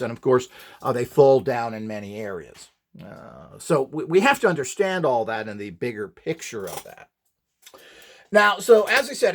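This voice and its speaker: A man giving an informal, rambling lecture as an instructor, in a blunt, opinionated way with sarcastic asides. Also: on the high side. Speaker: instructor presenting a build tutorial.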